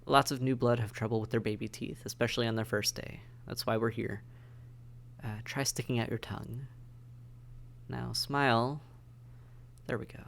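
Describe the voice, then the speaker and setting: A man's voice: medium pitch, steady tone, normal speed, vocal fry, long pauses, fairly bright tone, a little hiss or hum. A man playing a weary vampire intake officer in an audio roleplay, matter-of-fact and bureaucratic, with dry hesitation, speaking straight to the listener. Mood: patient, instructive. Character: weary, businesslike male vampire intake officer mentoring newcomers. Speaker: medical examiner and caseworker.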